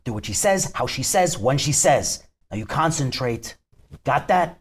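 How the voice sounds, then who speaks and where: A man's voice: medium pitch, expressive, unhurried, slightly rough. A man reading the part of a curt, profane mob boss who snaps out orders at a script table read. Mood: commanding. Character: short-fused mob boss barking orders in his restaurant.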